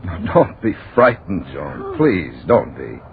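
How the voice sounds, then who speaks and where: A man's voice: low-pitched, wildly swooping pitch, takes it slowly, vocal fry, full character voice. An actor playing a haunted man obsessed with death, earnest and overwrought in a 1940s radio drama monologue. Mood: pleading. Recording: tinny 1940s radio, faint noise.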